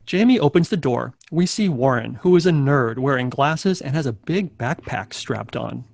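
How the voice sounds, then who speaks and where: Creaky-voiced man narrating a script. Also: medium pitch, animated, normal speed, a little mellow, dry recording. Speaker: narrator of stage directions. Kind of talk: scripted narration at a live table read.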